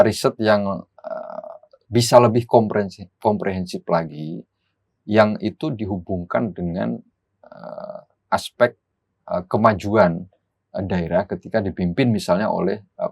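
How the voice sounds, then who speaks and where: A low-voiced man speaks as a guest analyst in a discussion. He explains his points with formal, academic wording, and the recording is dry and close. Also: unhurried, vocal fry, darkish tone, slightly rough, dry recording. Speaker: guest political commentator.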